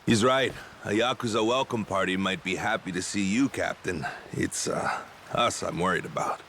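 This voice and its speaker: A man's voice: deep voice, normal speed, sharp tone, slight background noise. An actor playing a level-headed, sardonic pirate crewman in a video game, dry and matter-of-fact. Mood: dry, wary. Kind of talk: video game cutscene acting.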